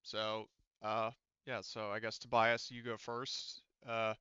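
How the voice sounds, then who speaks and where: Clear-voiced man running a tabletop game. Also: fairly low pitch, flat delivery, slow, bright, forceful tone, no echo, lo-fi sound. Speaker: game master. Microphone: online voice call.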